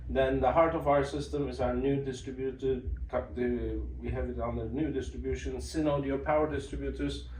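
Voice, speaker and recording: A man giving an informal product presentation as an exhibitor, with a halting delivery and frequent throat clearing, recorded in an indoor demo room. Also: medium pitch, a little mellow. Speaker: exhibitor presenting an audio system. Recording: roomy, distant mic, faint background noise.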